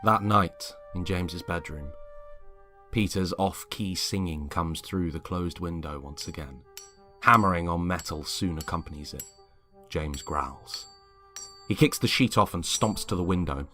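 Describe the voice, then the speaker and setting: A man narrating a scripted story in a measured, dramatic way. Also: sits low, pushed and bright, soft background music.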